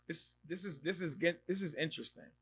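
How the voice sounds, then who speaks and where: Bright, lively man in his thirties. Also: a little high, moderate pace, creaky, slightly rough. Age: 30s. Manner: animated, exclaims and reacts loudly, repeats himself. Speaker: podcast host. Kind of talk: casual live-stream chat reading comments.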